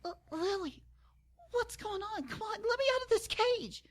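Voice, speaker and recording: A man voicing a frightened young female pony in a shrill, pleading falsetto for an amateur dramatic reading. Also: lively intonation, normal speed, a bit bright. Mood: panicked.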